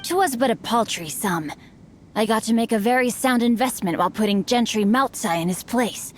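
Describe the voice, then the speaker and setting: A woman's voice: fairly high pitch, normal speed, a little hiss or hum, natural voice. A woman voicing a refined, bookish, slyly teasing noble teenage boy in a video game, with ornate, courtly phrasing. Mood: courteous. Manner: ornate, courtly, archaic wording. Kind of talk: English video game voice acting.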